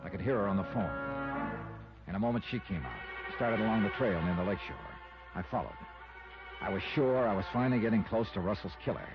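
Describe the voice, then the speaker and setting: A man's voice: lowish voice, fairly quick, lightly acted. An American man playing a shrewd, world-weary insurance investigator in a 1950s radio detective drama, with clipped hard-boiled narration. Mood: brooding, intent. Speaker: lead actor and narrator. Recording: muffled old radio recording with music.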